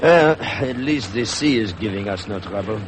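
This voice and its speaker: Man acting a wry boat mate, lowish voice. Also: animated, moderate pace, lightly acted. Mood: wry, relaxed. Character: easygoing, wry French first mate on a boat. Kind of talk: 1950s radio drama acting. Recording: noisy vintage 1950s radio recording.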